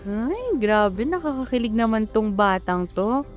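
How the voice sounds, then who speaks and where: Woman playing a high-voiced, chatty Filipino mother. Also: very animated, unhurried, faint music behind. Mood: playful, nostalgic. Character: chatty teasing middle-aged mother of three. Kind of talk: amateur radio drama acting.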